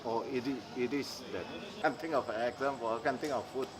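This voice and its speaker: A middle-aged man from Indonesia, speaking haltingly in an interview and often restarting as he searches for words. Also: mid-range voice. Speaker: interviewee, maker. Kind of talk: casual interview talk. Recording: clear background noise, insects.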